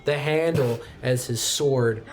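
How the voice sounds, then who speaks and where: A man's voice: mid-range voice, takes it slowly. A man narrating a tabletop roleplaying game as its game master. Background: faint background music.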